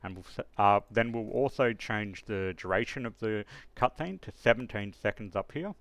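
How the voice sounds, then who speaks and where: A man with a fairly low, gravelly voice walks through a software tutorial step by step. He thinks aloud as he explains each setting in an unscripted, instructional way. The recording is close and dry. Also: moderate pace, no room sound. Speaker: tutorial host.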